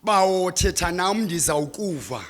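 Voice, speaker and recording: A man's voice: high-pitched, animated, moderate pace. A man with a Xhosa accent performing declamatory, rhythmic spoken-word poetry on stage, impassioned and dramatic. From South Africa. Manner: impassioned, dramatic, swelling from hushed to loud. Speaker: slam poet performing on stage. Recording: live stage performance, reverberant hall.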